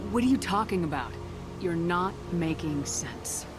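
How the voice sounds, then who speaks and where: A woman playing a brisk, authoritative space commander in a video game, direct and terse. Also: fairly low pitch, expressive, music in the background. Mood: firm, inquiring. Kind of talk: dramatic game dialogue.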